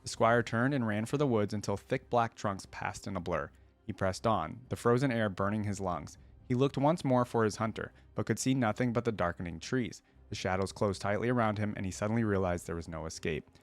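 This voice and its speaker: A man's voice: fairly low pitch, a little fast. A man hosting a reaction video, commenting casually and reading story passages aloud. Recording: roomy echo, faint music behind.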